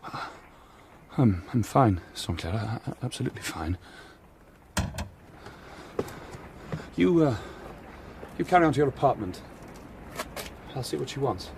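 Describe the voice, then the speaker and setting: Male, young gentleman sleuth for period radio drama, teasing yet haunted, highly animated intonation. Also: moderate pace, gravelly, long pauses, background noise. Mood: shaken. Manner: urbane, teasing, intense.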